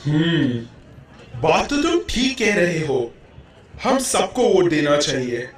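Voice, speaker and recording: Man playing a high-pitched bossy lazy husband, echoey. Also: sing-song, slow pace. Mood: conceding. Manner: theatrical, bossy. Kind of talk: puppet show dialogue. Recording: very echoey hall, distant mic.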